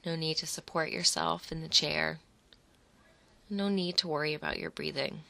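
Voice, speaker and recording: A woman leading a guided imagery meditation in a soothing, unhurried manner, speaking in short phrases with pauses between them. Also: sits low, vocal fry, sharp tone. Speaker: guided relaxation narrator.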